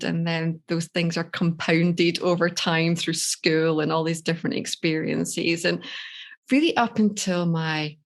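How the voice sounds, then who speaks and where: A woman's voice: lowish voice, creaky. A middle-aged Scottish woman, a life coach, telling her personal story in a candid, reflective way on a podcast interview. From Scotland. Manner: reflective, candid, laughs easily.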